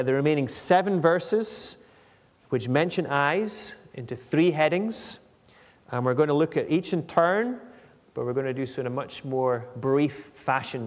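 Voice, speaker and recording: A man in his forties delivering an expository sermon in a measured, explanatory manner, reading out scripture and commenting on it. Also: medium pitch. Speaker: preacher. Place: indoor church hall at a lectern. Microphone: microphone on a stand at lectern. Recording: echoey church hall, long reverb.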